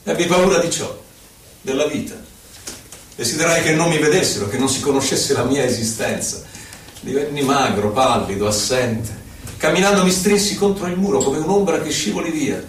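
An actor playing a melancholy, haunted poet who recalls his life in solemn, deliberate theatrical verse with dramatic pauses. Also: fairly high pitch, a little hiss or hum, lightly acted. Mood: anxious, withdrawn. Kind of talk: theatrical poetry recitation. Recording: echoey hall from far off, faint music. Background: faint music, violin.

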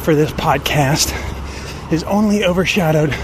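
A man in his fifties hosting a personal podcast monologue, speaking deliberately in short phrases with pauses. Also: over music. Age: 50s. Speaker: podcast host. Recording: noisy outdoor recording with traffic rumble.

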